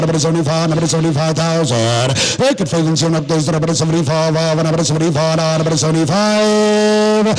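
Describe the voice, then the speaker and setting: A South African man working as a livestock auctioneer, describing cattle lots and then rattling off bids in a rapid chant. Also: on the high side, big-room sound, poor audio. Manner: rattles off bid numbers in a fast chant, switching between English and Afrikaans.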